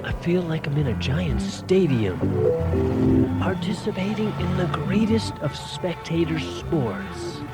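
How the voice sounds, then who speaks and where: A man's voice: fairly high pitch, animated, slow pace, loud music behind the voice. A man delivering poetic scripted narration in short declarative phrases, as in an audio artwork.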